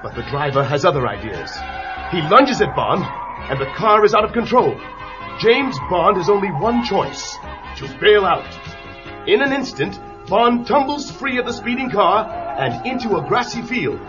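A man's voice: sits high, very animated, normal speed. A man narrating a children's storybook adventure in a dramatic, urgent way. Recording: dull lo-fi tape with music.

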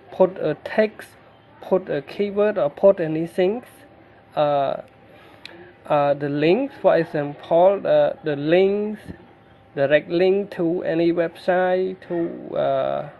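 A man from Cambodia, a native Khmer speaker with a Khmer accent, narrating a screen-recorded tech tutorial in halting English with many fillers and restarts. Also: a little high, gravelly, narrow, tinny audio, slight background noise.

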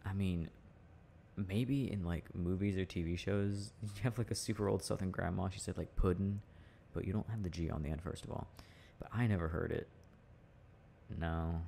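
A man playing a teasing, easygoing boyfriend in a casual, intimate ASMR roleplay, talking in a halting, musing way. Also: low voice, steady tone, vocal fry, warm tone, slight background noise. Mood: relaxed musing. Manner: halting, musing, chuckling delivery. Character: teasing, easygoing boyfriend chatting with his partner.

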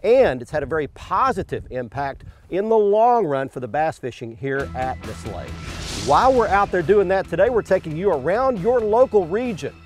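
A middle-aged man hosting a fishing show from a bass boat on a lake, talking in a folksy, enthusiastic way. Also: sing-song, normal speed, faint music behind. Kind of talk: outdoor show presenting.

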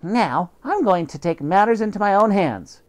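A high, theatrical house cat who fancies himself a vampire, all exasperation and melodrama, as a teacher puts him on. The pitch leaps well above the reader's own voice and swings widely. It is a storybook character read aloud. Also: huge pitch swings, unhurried, a little mellow. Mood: aggrieved.

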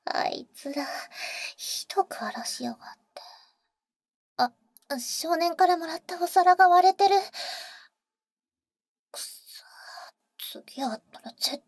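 A woman playing a teasing, protective vampire big sister in an ASMR roleplay, speaking in an intimate, soft whisper close to the mic with cool, boyish phrasing. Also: very high-pitched, expressive, slow, pauses often, dry recording, heavily acted. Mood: indignant. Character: teasing, protective female vampire, older-sister type to a boy. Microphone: close microphone, dry.

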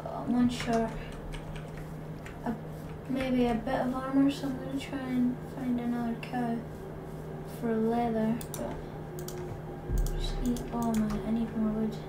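High-voiced woman narrating her gameplay. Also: little pitch movement, a little slow. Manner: chatty, thinking aloud while playing. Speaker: gaming channel host. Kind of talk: Minecraft let's play commentary. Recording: echoey room, distant mic, loud noise.

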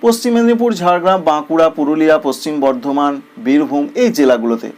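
High-pitched man presenting weather news. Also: quick pace, darkish tone. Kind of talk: semi-scripted weather bulletin narration.

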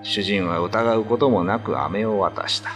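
A man's voice: on the low side, a little slow, a bit bright, soft background music. A man narrating a ghost story in a dramatic, scripted radio drama style.

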